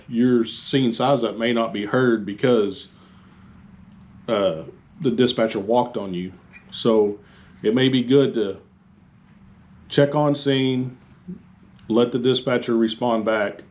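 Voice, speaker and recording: A man giving an informal fire department training talk, phrasing things haltingly and repeating himself. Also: fairly low pitch, a little mellow, a little hiss or hum. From Texas, United States.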